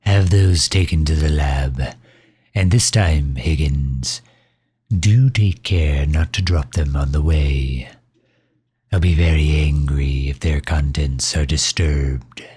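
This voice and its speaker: A man's voice: very deep voice, takes it slowly, a little mellow, slightly rough, dry recording, heavily acted. A man playing a pompous, suave megalomaniac villain in an ASMR audio roleplay, with leisurely, formal wording and impatience toward his servant. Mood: stern, warning.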